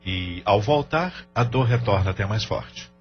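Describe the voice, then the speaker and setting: Man playing a deep-voiced, uneasy family man. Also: lively intonation, unhurried. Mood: evasive, probing. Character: troubled, evasive family man and businessman. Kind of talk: audio drama dialogue. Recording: dull lo-fi audio with music underneath.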